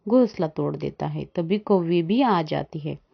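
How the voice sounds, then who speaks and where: A woman's voice: low voice, expressive, a little slow, gravelly, breathy edge. Woman narrating a children's story, muffled. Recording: dull lo-fi sound.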